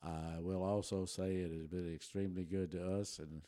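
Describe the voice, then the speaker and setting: Older man, german first language, low warm voice, folksy rambling storyteller, dry close sound. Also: level tone, moderate pace, no echo. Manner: folksy, rambling with many restarts and chuckles. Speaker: interview guest. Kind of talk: relaxed storytelling.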